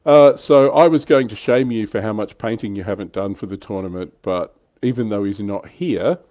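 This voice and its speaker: A man's voice: lowish voice, moderate pace, a little mellow, dry recording, studio quality. A man hosting a hobby livestream in a casual, chatty way, lightly teasing and prompting others. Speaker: host of a tabletop wargaming livestream.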